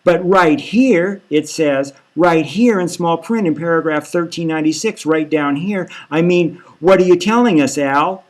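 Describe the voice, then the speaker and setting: A man's voice: fairly high pitch, unhurried, hardly any pauses, lightly acted. A man voicing an indignant surrogate mother in a satirical audiobook, firing insistent, repeated questions. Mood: indignant, demanding. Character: indignant, nagging surrogate mother confronting her boss. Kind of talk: satirical audiobook character reading.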